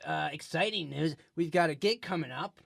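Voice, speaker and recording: Man as a dopey, creaky amateur rocker. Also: fairly high pitch, fairly bright tone, no echo, studio quality, heavily acted. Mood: halting, pleased. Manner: halting, mumbly, laid-back. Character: Sid Boy, dopey, hesitant amateur young rocker. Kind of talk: improvised radio comedy interview.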